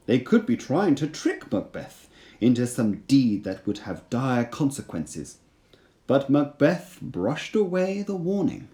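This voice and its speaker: A man's voice: medium pitch, dark tone. A man reading a storybook aloud as a storyteller, with dramatic scripted narration.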